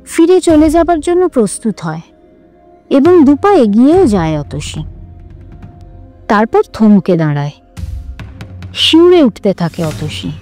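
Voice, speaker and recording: A woman reading a literary story aloud with dramatic, measured, expressive narration over music. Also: sits high. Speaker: story reader. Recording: music under the voice.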